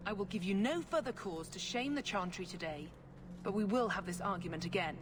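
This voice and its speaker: An actress voicing a scheming, zealous priestess in a video game, with a formal, controlled and sanctimonious manner. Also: female voice, medium pitch, brisk, natural voice. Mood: cold, smug. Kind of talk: dramatic video game acting. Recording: loud ambient noise behind.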